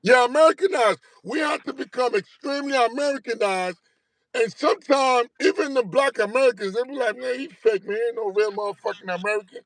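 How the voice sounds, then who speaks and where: A man's voice: very high-pitched, a little fast, fairly bright tone, no room sound, poor audio. A middle-aged man from Haiti, a guest telling stories in a casual interview, emphatic, profane and streetwise, always asking if you get what he's saying. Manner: repeats tag questions like "you know what I'm saying".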